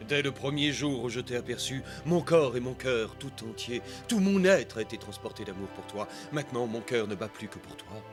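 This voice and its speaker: A man's voice: mid-range voice, expressive, normal speed, few pauses, close to an everyday voice. Man acting a gallant captain, widely swooping voice. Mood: ardent. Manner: flowery, declamatory. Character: gallant, smooth-talking army captain, ardent suitor. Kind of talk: animated film dub. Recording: loud background music.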